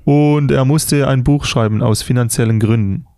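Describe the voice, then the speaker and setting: A young man co-hosting a relaxed podcast chat, hesitating often with filler sounds and breaking into easy laughter. His voice sits at an ordinary middle pitch. It is recorded close on the mic in a completely dry, dead room. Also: moderate pace, no echo. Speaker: podcast co-host. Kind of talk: casual chat.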